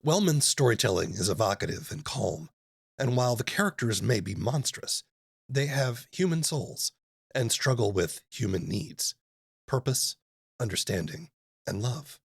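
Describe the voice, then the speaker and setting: A man's voice: mid-range voice, animated, unhurried, gravelly, husky, dry recording, clean studio sound. A man hosting an interview podcast, moving between questions and scripted narration.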